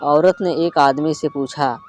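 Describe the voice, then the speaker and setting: A man narrating a moral story in an earnest, measured reading. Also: a little high, steady tone. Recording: faint flute music behind.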